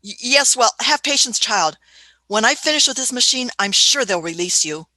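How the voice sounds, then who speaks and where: A bright, dry-sounding female voice acted by a woman plays an eccentric scientist-adventurer in a radio play. She is fussy and authoritative, pitched fairly high, stammering and talking down to her companion. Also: lively intonation, normal speed, dry recording, low-quality recording. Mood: patronising. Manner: fussy, stammering, condescending, with quizzical tags.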